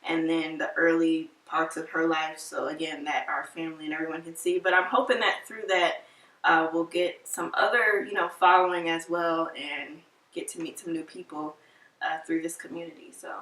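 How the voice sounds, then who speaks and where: Young woman in her twenties, chatty, giggly, slightly low voice, mic set back in a roomy space. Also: steady tone, moderate pace, a bit bright, recorded from a distance. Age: 20s. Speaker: vlog co-host. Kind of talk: casual couple chat.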